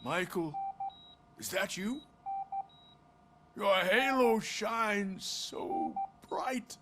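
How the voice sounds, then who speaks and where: A man playing a frail, ailing old company chief in a video game, weary, wistful and halting. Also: high voice, lively intonation, very noisy, heavily acted. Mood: frail wonder. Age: older. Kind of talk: video game cutscene acting.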